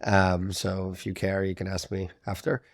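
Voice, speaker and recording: Guest, man, thirties, Californian accent, low and gravelly, relaxed rambling talker with a dry, close sound. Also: moderate pace, dry recording. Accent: Northern Californian. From Russia, raised in California. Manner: relaxed, rambling, heavy use of "like" and "um", laughs often. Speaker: podcast guest. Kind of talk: casual interview chat.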